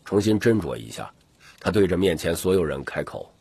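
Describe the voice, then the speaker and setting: A man's voice: sits low, very animated, a little fast, vocal fry, darkish tone, slightly rough, dry recording. A man reading dramatic scripted fantasy narration as an audiobook narrator.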